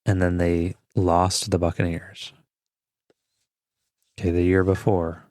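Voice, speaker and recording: A man with a fairly low voice co-hosts a casual sports podcast. He talks conversationally in short, loose phrases, and the sound is close and dry. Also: little pitch movement, a little slow, darkish tone, dry recording. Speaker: podcast co-host.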